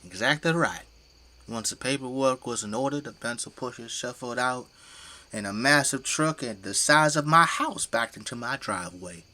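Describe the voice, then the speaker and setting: A man's voice: medium pitch, moderate pace, bright, forceful tone. An actor playing a folksy, boastful, tipsy middle-aged father who fights zombies with a flamethrower in an audio drama. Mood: matter-of-fact. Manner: folksy, colloquial, easygoing bragging.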